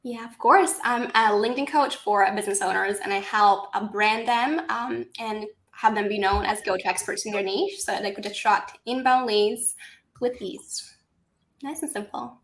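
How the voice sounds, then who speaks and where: A woman's voice: mid-range voice, normal speed, a bit bright, roomy sound. A cheerful woman from Latvia with a Latvian accent with an American touch, a guest speaking as a LinkedIn coach over a video call. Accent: Latvian with American influence. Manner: cheerful, laughs easily. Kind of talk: casual interview chat. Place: home in London.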